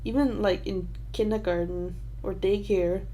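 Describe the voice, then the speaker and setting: A woman's voice: mid-range voice, steady tone, a little slow, dark tone, slight background noise, low-quality recording. A young Danish woman with a Danish accent hosting a casual livestream Q&A, talking in a hesitant, rambling way with many fillers. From Denmark. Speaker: livestream host answering questions.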